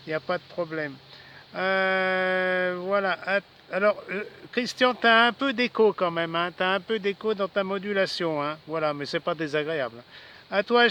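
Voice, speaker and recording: A man's voice: high voice, sharp tone. A man chatting casually as an amateur radio operator outdoors, often repeating himself and adding little tags. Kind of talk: casual ham radio exchange. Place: outdoors in a city park. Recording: outdoor recording with faint ambient noise.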